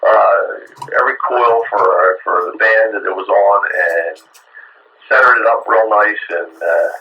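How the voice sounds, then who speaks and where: A man's voice: lowish voice, unhurried. A man talking casually as a radio operator, heard through a muffled two-way radio with faint static. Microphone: radio set microphone heard over air.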